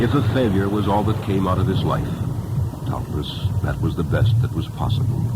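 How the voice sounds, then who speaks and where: A man reading a story as a dramatic narrator, solemn, reverent and measured. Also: low voice, very noisy. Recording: music under the voice. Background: music.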